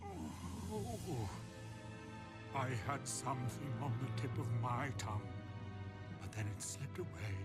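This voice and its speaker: Slow, musing old sage. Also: male voice, mid-range voice, very animated, over loud music. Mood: absent-minded. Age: older. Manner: slow, formal, musing, absent-minded. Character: solemn, rambling old male sage storyteller. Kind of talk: video game character dialogue.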